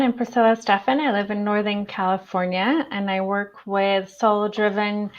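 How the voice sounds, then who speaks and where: A woman's voice: mid-range voice, lo-fi sound. A woman leadership coach introducing herself on a panel, upbeat and polished.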